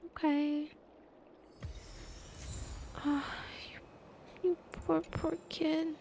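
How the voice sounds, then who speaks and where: A woman's voice: high voice, level tone, very slow, gravelly, dark tone, a little hiss or hum. A woman giving casual commentary as she streams a video game. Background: game music.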